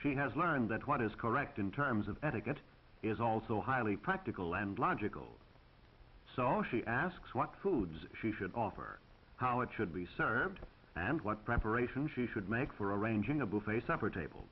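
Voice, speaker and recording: A man's voice: mid-range voice, expressive, normal speed, breathy edge, highs cut off. An American man reading scripted 1940s instructional film narration in a formal, precise, instructive manner on an old film soundtrack.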